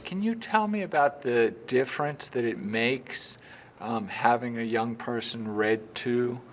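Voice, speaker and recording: A man's voice: medium pitch, unhurried, a little hiss or hum. A man hosting an interview, who welcomes his guest and asks brief, polite, formal questions. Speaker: interview host. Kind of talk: hosting a local interview segment.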